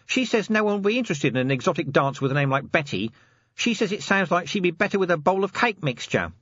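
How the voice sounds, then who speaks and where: A man's voice: high-pitched, big pitch swings, fast, a bit bright, dry recording, lo-fi sound, pitched above the performer's own voice, exaggerated voice. A man voicing a cheeky, seedy, eager male corporal of the city watch in an audiobook, chatty and matter-of-fact. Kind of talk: audiobook character acting.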